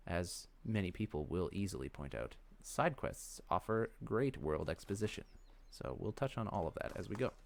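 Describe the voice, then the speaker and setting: A man's voice: sits low, vocal fry. A man hosting a let's play, giving casual commentary on a game as he plays.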